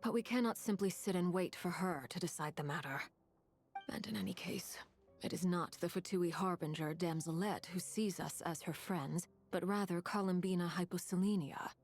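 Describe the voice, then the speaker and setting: A woman voicing a stern, resolute noblewoman in a video game, speaking formally and deliberately. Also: on the low side, a little mellow, faint music behind, natural voice.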